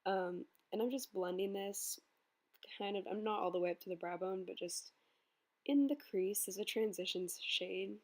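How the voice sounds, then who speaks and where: A young woman with a slightly hoarse voice from a cold, chatting her way through a makeup tutorial voice-over with plenty of ums. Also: medium pitch, moderate pace. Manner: chatty, relaxed, many ums. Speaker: beauty vlogger.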